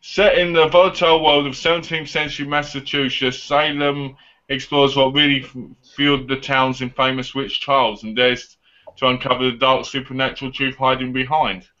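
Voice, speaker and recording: A man's voice: medium pitch, level tone, normal speed, bright, forceful tone. A man in his twenties hosting a casual live online chat show. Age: 20s. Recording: dull lo-fi online call audio.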